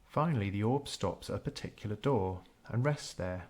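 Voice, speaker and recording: A man narrating a scripted guided meditation in a measured, unhurried storytelling way. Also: lowish voice, expressive.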